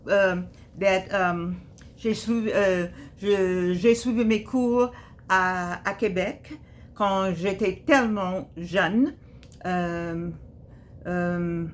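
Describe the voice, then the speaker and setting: A woman's voice: medium pitch, a little hiss or hum. An older woman talking casually to camera. She rambles and returns to key lines with emphasis. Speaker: vlogger. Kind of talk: casual spoken monologue.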